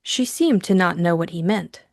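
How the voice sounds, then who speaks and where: A woman's voice: lowish voice, normal speed, vocal fry, no echo, studio quality. A woman reading a novel as an audiobook narrator, in scripted dramatic narration.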